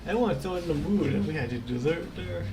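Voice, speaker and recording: A woman's voice: lowish voice, expressive, fast, music in the background. A woman chatting casually as she streams a video game. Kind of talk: casual livestream commentary.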